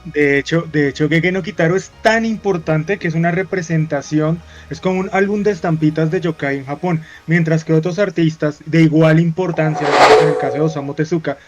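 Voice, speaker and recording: Colombian guy on a talk panel, slow drawn-out explaining, muffled audio. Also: on the high side, faint music behind. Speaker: co-host. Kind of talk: casual panel chat. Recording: dull lo-fi sound.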